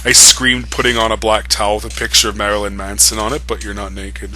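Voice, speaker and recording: A man's voice: lowish voice, level tone, fast, bright, forceful tone, soft background music, rough recording. A man reading fan fiction aloud for comedy, breaking in with mocking, amused asides. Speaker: reader.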